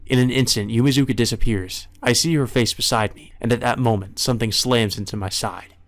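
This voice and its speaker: A man's voice: medium pitch, steady tone, a little fast. A man reading a visual novel aloud as dramatic first-person narration. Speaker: let's play reader. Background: music.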